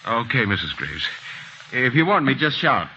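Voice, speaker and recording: An actor playing a deferential, concerned household servant in an old-time radio drama, eager and a little hesitant. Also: male voice, mid-range voice, sing-song, normal speed, bright, forceful tone. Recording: muffled old radio broadcast, faint noise.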